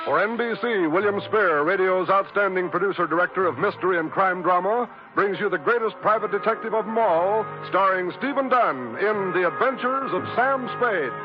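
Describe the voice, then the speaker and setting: A man's voice: sits high, normal speed, hardly any pauses, natural voice. A man announcing a show in a formal, emphatic 1940s radio style. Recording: dull vintage radio recording. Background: loud music.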